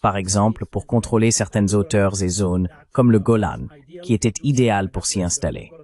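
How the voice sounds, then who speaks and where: A man reading a measured, explanatory French voice-over of an interview. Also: lowish voice, animated, a little mellow. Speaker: translation voice-over.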